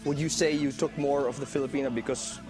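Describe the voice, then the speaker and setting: A woman's voice: very deep voice, fairly even intonation, fast talker, music in the background. A woman hosting a talk show, prompting her guests with questions. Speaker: host.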